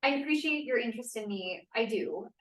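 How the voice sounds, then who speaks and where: An echoey room carries the fairly high voice of a woman acting a confident young scientist in a 1960s drama. She is measured, earnest and firm in her principles, read out as at a table read. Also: expressive, fairly bright tone, recorded from a distance. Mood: wary, candid.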